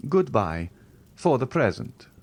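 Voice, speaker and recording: A man voicing a grave, protective middle-aged nobleman who gives solemn, measured warnings. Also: medium pitch, sing-song, voice pushed up. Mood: urgent.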